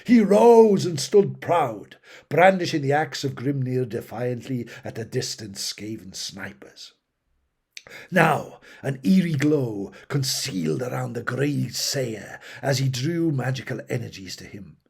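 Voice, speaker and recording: A man's voice: medium pitch, lively intonation, unhurried, vocal fry, darkish tone, husky. A man reading a fantasy battle story aloud with theatrical, emphatic delivery. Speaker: audiobook reader. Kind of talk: dramatic fantasy story narration.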